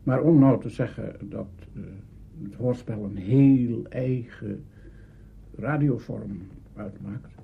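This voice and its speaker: A man giving a measured, halting opinion in an interview. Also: medium pitch, creaky, slightly rough. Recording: dull archival interview recording.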